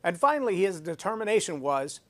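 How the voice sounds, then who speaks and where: A man's voice: high voice, big pitch swings, brisk. Emphatic man giving a leadership talk. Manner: emphatic, repeats phrases for effect; self-described loud voice. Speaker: podcast host. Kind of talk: leadership talk with personal story.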